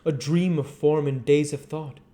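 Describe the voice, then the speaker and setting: A man's voice: on the high side, steady tone, measured pace, dark tone, lightly acted. A man voicing an ardent, anguished painter in a dramatic storybook reading, earnest and confessional. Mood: rapturous.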